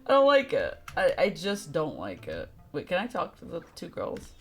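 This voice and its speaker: A woman with a low voice that jumps around in pitch, streaming a game. Her commentary is casual, blunt and reactive, with music in the background. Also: wildly swooping pitch, moderate pace, fairly bright tone. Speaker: video game live streamer.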